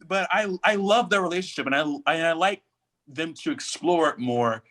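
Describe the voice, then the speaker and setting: A man's voice: a little high, expressive, normal speed, fairly bright tone. A man chatting casually as a podcast co-host, giving his commentary. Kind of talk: casual chat, pop culture commentary.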